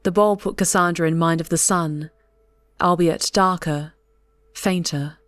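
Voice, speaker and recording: A woman's voice: lowish voice, fairly even intonation, measured pace, no room sound. A woman reading scripted story narration as an audiobook narrator. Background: soft music.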